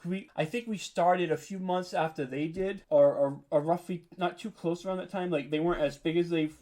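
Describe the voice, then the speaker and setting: Male podcast guest, slightly high-pitched, loose and rambling conversational delivery, self-deprecating. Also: steady tone, fairly quick, dark tone. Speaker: interview guest, audio drama podcaster. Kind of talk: casual interview chat.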